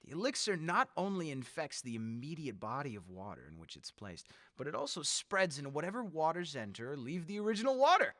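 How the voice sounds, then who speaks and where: Radio horror villain: deranged tyrant, highish voice, wildly varied delivery, gloating and theatrical. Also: male voice, big pitch swings, fairly quick, hardly any pauses, dry recording, clean studio sound. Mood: smug, taunting. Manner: theatrical, gloating, swinging from hushed menace to shrieks. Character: megalomaniac mad male leader plotting mass death. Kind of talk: old-time radio horror drama acting.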